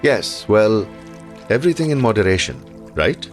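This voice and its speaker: Gentle, low-voiced doctor. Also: male voice, sing-song, faint music behind. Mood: gentle, attentive. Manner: measured, gentle, formal. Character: gentle, measured male family doctor, caring and patient. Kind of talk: naturalistic audio drama acting.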